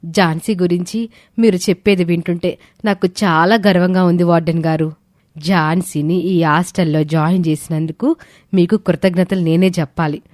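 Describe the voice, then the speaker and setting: A kindly, encouraging lady teacher played by a woman in an audio storybook. Her reassuring voice sits fairly low, recorded close and dry. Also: steady tone, moderate pace, darkish tone, no room sound, close to an everyday voice. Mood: proud, grateful. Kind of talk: dramatised moral story acting.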